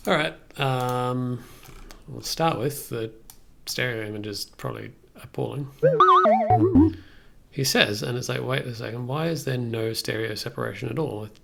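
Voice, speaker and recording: Guy streaming, super croaky vocal fry, mid pitch, kinda muttering thru his work out loud, questions himself a lot. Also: moderate pace, a crowd in the background. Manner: thinking aloud, self-questioning. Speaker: livestream host. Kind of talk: casual chat while working on music software. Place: small indoor room at a computer.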